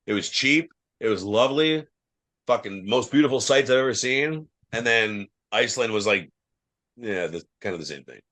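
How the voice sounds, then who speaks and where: A young man from Ohio, a wrestler guesting on a podcast, telling loose, profane travel stories full of fillers, with a loud voice. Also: medium pitch, lively intonation, normal speed. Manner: says he has a loud voice. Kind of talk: casual podcast chat.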